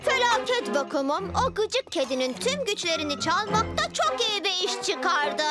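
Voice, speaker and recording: A woman's voice: very high voice, big pitch swings, moderate pace, loud music behind the voice. A bratty boy villain. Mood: gloating. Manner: bossy, scornful, gloating. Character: boastful bratty boy mad scientist villain. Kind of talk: cartoon dubbing.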